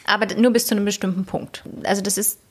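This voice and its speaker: A woman linguist answering interview questions in a thoughtful, hedging way, with soft laughs between answers. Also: medium pitch, fairly even intonation, racing, husky. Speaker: linguist guest. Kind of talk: conversational interview.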